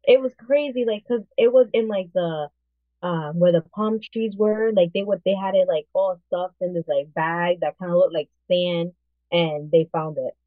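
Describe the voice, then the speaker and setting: Low-pitched woman on a tinny phone-like line. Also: moderate pace, no room sound. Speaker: podcast co-host. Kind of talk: casual chat, telling anecdotes.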